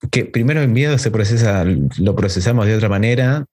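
A man's voice: fairly low pitch, fairly quick, a little mellow. Casual Rioplatense man in his thirties. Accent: Rioplatense Spanish. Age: 30s. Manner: informal, slangy. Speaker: podcast host. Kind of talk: casual conversation.

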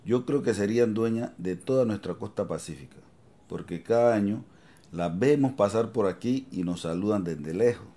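A man's voice: fairly low pitch, moderate pace, dark tone, close to an everyday voice. A man acting an earnest coastal villager in an educational radio drama, reasoning aloud.

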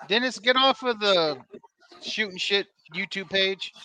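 A man's voice: high voice, a little slow, sharp tone, clean tone, rough recording. A man co-hosting a live wrestling podcast, chatting loosely and joking while running the stream. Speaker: podcast co-host. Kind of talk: casual live-show banter.

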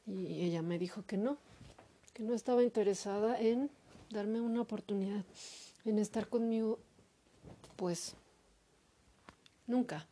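A woman talking alone in a hesitant, reflective monologue, full of fillers and pauses. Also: medium pitch, dark tone. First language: Spanish. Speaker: podcaster. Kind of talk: personal monologue.

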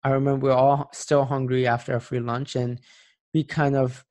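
A man's voice: medium pitch, level tone, brisk, a little mellow. Earnest young man, plain reflective talk. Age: late 20s. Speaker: speaker sharing personal experience. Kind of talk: personal monologue.

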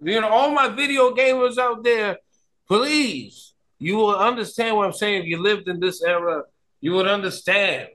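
Guy guesting on a gaming podcast, pretty high voice, hyped up, repeats stuff for emphasis. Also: normal speed. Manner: excitable, emphatic, repeats himself, imitates sound effects. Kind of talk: casual chat about retro video games.

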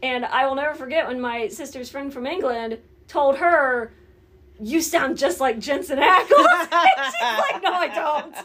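A woman's voice: sits high, big pitch swings, pushed and bright. A woman from Southern Ohio with a Southern Ohio accent, co-hosting a morning radio show in casual chat and laughing often. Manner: giggly, chatty, quick to laugh. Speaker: radio co-host.

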